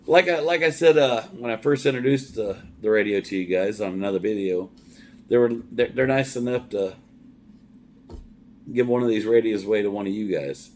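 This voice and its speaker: A man's voice: fairly low pitch, moderate pace, darkish tone, slight background noise. A middle-aged man, a trucker, chatting casually through a product review in a plain-spoken, folksy way, often repeating words as he goes. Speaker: trucker reviewing gear for followers.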